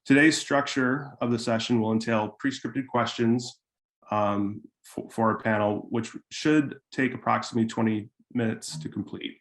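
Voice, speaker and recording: A man's voice: mid-range voice, normal speed. A man moderating an online student panel, speaking with frequent ums and hesitant restarts. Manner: hesitant, many fillers.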